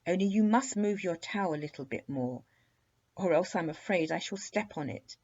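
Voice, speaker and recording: A woman's voice: fairly low pitch, expressive, normal speed, darkish tone, breathy edge, lo-fi sound. A woman voicing a polite, fearless little princess in a children's story, with a courteous, knowing manner. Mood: cheerfully insistent.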